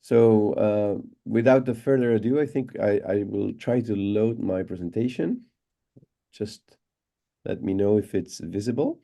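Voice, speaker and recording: A man from Chile with a Chilean Spanish accent, giving an online lecture in a relaxed way, with frequent repeated words and fillers. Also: fairly low pitch, a little slow, creaky. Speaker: guest lecturer. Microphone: computer mic on a video call.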